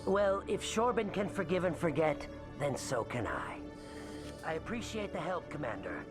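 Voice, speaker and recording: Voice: medium pitch, big pitch swings, moderate pace, close to an everyday voice. An actor playing a nervous, evasive character who stammers and turns defensive. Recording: game scene with music.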